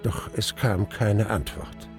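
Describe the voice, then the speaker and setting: A man playing a wistful young gentleman who narrates his memories in a measured, reflective way in a radio drama. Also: lowish voice, steady tone. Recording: music under the voice.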